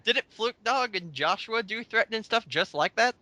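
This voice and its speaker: A man's voice: sits high, lively intonation, moderate pace, bright, forceful tone. A man giving casual, mocking commentary on online comments in a sarcastic, blunt and sweary way.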